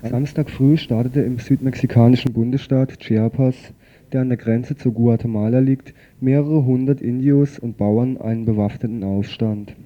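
Very dark-voiced man reading news. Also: mid-range voice, fairly even intonation, normal speed, lo-fi sound, faint music behind. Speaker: radio news presenter. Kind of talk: scripted news report read aloud.

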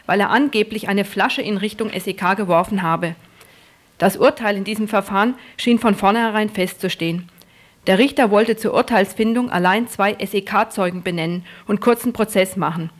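A woman narrating a scripted news report as a radio reporter. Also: mid-range voice.

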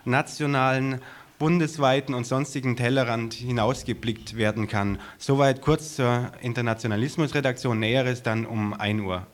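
A man making an unscripted radio announcement in a studio, with a nervous, somewhat halting, wordy delivery. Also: mid-range voice, fairly even intonation. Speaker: radio editorial team member, guest.

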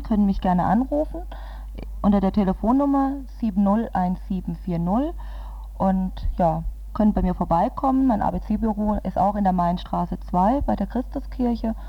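A woman community organiser talks informally as a radio interviewee. Her pitch is average for a woman. The sound is a little dull and lo-fi. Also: fairly quick.

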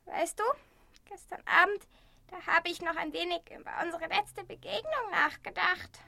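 A woman playing a kind, naive, lonely old grandmother in a German radio play, speaking gently and full of endearments. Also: very high-pitched, steady tone, moderate pace, gravelly, full character voice. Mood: fond.

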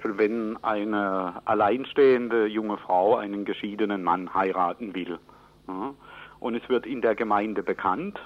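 A man's voice: fairly low pitch, unhurried. A man being interviewed, explaining things off the cuff in a matter-of-fact way, step by step. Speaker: interviewee explaining church employment practice. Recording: narrow, phone-like radio recording.

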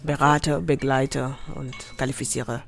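Low-voiced woman speaking firmly. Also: little pitch movement, unhurried, vocal fry. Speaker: interviewee, adult education trainer. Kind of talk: interview speaking of her work.

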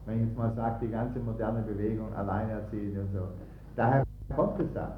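A man making halting, grumbling, questioning remarks off the cuff. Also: lowish voice, fairly even intonation, loud music behind the voice. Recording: roomy, dull, very noisy recording.

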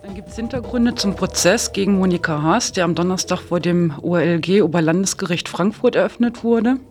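A man's voice: high voice, a little fast. A man presenting on radio, reading a scripted preview of upcoming news topics. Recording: music playing under the voice. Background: music.

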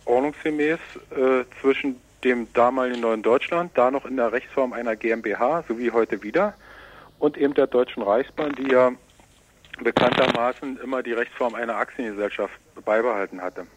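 A man's voice: medium pitch, normal speed, slight background noise, low-quality recording. A man giving explanatory interview answers in formal, legalistic wording, hesitating often. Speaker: newspaper representative being interviewed.

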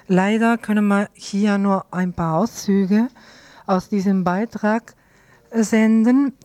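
A woman's voice: medium pitch, a little mellow, dry recording. A woman presenting on radio, introducing a report in a hesitant, partly read delivery at a close microphone.

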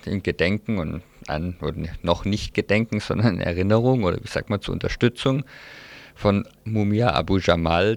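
A male radio presenter with a very deep voice and a lot of fry in it. He delivers announcements and programme previews in a loose, semi-improvised style. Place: radio studio.

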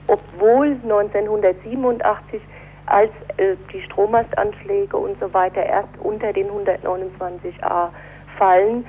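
A woman speaking as a defence lawyer in an interview, explaining things in a measured, formal legal way. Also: mid-range voice, fairly even intonation, mellow, a little hiss or hum, rough recording. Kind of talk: spoken interview answers.

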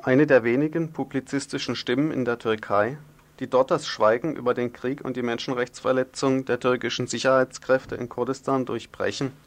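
Guy presenting radio news, medium pitch, formal wordy scripted commentary.

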